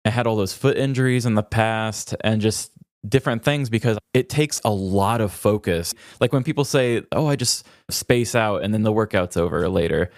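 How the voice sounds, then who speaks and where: A man's voice: fairly low pitch, moderate pace, no room sound, studio quality. A male podcast co-host chatting casually in a candid, self-deprecating way. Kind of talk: casual conversational chat.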